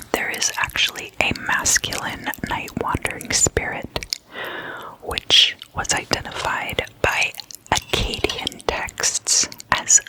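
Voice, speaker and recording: Slow, bright whispering ASMR host. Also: poor audio. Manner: slow, deliberate, hushed delivery in short phrases. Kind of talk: whispered ASMR, casual explainer.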